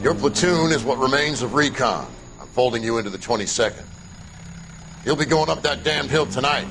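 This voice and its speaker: An actor playing a gruff, unbending army commander in a video game, curt and commanding with a gravelly voice. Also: male voice, medium pitch, lively intonation, a little fast, music in the background. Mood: stern. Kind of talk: video game war cutscene acting. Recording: loud battlefield noise behind.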